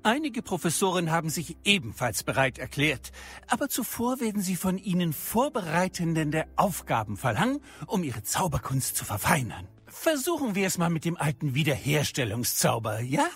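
Male magic teacher, eccentric, genial, very raspy, animated, theatrical, faint music behind. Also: on the high side, moderate pace, heavily acted. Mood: genial, instructive. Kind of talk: German audio drama acting.

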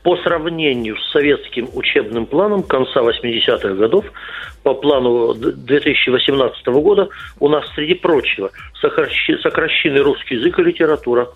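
Middle-aged guy explaining slowly w lots of uh pauses, tinny phone-like line. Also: medium pitch, slightly rough. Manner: measured, explanatory, many filler pauses. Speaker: guest lawmaker. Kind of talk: radio interview answers.

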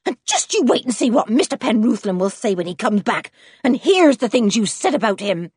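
A woman's voice: medium pitch, huge pitch swings, moderate pace, darkish tone, breathy edge, dry recording, clean studio sound, full character voice. A woman voicing an indignant farmer's wife in a storybook, fiercely loyal to her husband and emphatic in her scolding. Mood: furious. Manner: emphatic, scolding, repeats herself.

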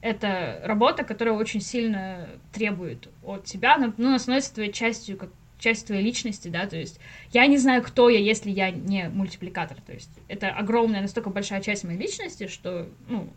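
Fast-talking young woman, casual storyteller. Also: mid-range voice. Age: late 20s to early 30s. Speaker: podcast guest, animator.

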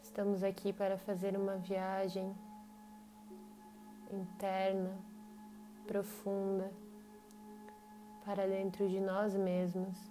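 A Brazilian woman guides a meditation at a very slow pace. Her delivery is even, with little rise and fall, and she leaves long pauses between short phrases. Clear meditative music with singing bowls plays behind her voice. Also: medium pitch.

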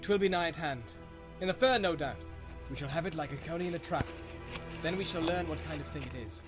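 A guy doing a grim hooded soldier creeping through ferns, pitched a bit up and kept hushed, slow old-timey talk, music playing clearly behind. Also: natural voice. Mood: hunting. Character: hooded male soldier on the hunt, wary and grim.

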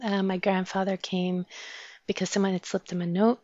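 A woman from Afghanistan, a guest in an interview, telling her story thoughtfully with many pauses and fillers. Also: medium pitch, level tone, warm tone, no echo. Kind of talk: interview conversation. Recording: dull lo-fi sound.

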